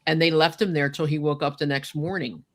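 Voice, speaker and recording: A woman's voice: low voice, steady tone, fairly quick. An older woman reminiscing in casual, unscripted talk as a podcast host, chatty and emphatic, with frequent asides to her listeners. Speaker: podcast host telling personal memories.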